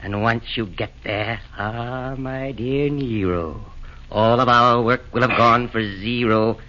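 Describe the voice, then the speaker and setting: A man's voice: medium pitch, slow pace, sharp tone. An actor playing the sly, pompous chairman of a council of fiends in a 1940s radio comedy, speaking in rhymes. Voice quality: pompous, sing-song rhyming. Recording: muffled 1940s radio broadcast.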